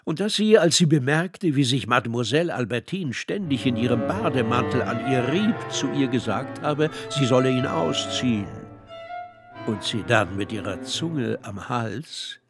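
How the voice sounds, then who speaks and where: Obliging manservant played by an actor, mid-pitched. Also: male voice, animated, normal speed, over music, close to an everyday voice. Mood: insinuating, eager. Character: obliging male servant reporting scandalous findings to his master. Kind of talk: radio drama letter monologue.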